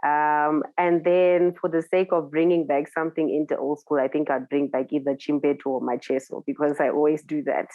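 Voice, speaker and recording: A woman's voice: sits low, flat delivery, a little fast, hardly any pauses, dark tone, dry recording, muffled recording. A woman DJ with a Zimbabwean accent, talking casually in an interview, thoughtful and searching for words. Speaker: DJ guest.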